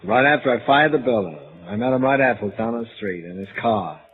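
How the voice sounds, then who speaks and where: An American actor playing a cagey, defiant arsonist in 1950s radio noir, with curt, evasive, stammering streetwise talk. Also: male voice, mid-range voice, lively intonation, brisk, narrow, tinny audio, lightly acted. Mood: cocky, glib. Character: cagey, lying, defiant male arsonist crook. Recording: vintage radio recording with faint music.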